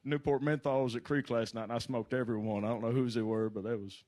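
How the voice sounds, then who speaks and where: A man with a Southern US accent, chatting on a comedy stage in a folksy, self-deprecating, unhurried way. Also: mid-range voice, flat delivery, darkish tone. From Kentucky, USA. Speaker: amateur comic being interviewed on stage. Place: live comedy show stage.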